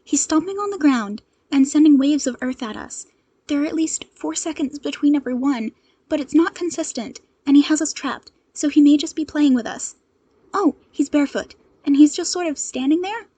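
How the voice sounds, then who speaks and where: A woman's voice: sits high, normal speed, mellow, pitched above the performer's own voice, natural voice. A woman voicing an urgent young female superhero in a fan audio story, panicked but determined, with breathless field reports. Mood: tense.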